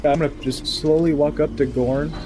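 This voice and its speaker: A man's voice: on the high side, fairly even intonation, moderate pace, background noise. A man playing a tabletop game, stating his character's actions plainly in conversational speech. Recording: background music under the voice. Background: music.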